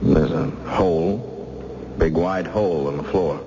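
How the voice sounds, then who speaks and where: An actor playing a defiant captive husband in an old radio thriller, halting and stubbornly protective of his wife. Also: a bass voice. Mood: uneasy dread. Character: defiant captive husband, secretive government worker under threat. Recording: muffled, noisy old radio recording.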